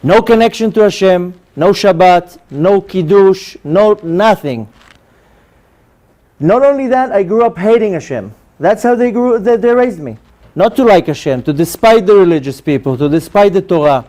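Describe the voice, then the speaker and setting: Casting: Israeli male lecturer, 40s, native Hebrew speaker, high soft-edged voice, informal storyteller addressing an audience. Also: expressive, moderate pace. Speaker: religious lecturer, rabbi. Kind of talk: personal testimony lecture to an audience.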